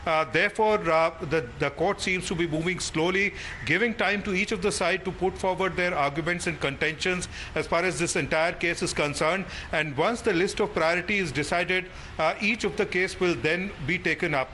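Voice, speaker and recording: A male news reporter with an Indian accent speaks in a high, sharp voice. He reports live without a script, hesitating and repeating himself. Faint ambient noise sits behind him. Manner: halting, repetitive, hesitant delivery. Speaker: news reporter covering a court case.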